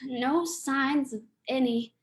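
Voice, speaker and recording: A woman's voice: sits high, takes it slowly. A woman playing an alert young lady, a detective's loyal companion, earnest and theatrical in a radio drama. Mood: inquisitive. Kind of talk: old-time radio drama acting.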